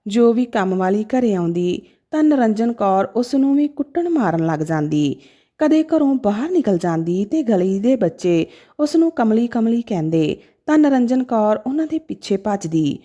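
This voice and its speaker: A woman tells a scripted story in a fairly high, mellow voice. Her delivery is emotive and dramatic, with a very lively rise and fall through each sentence. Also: normal speed.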